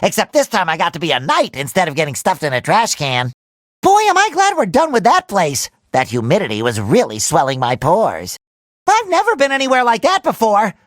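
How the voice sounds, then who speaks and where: A man voicing a cheerful, naive, excitable young male cartoon sea sponge in a video game, with a nasal, squeaky, childlike and eager delivery. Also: fairly quick, no room sound, studio quality. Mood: chatty, optimistic.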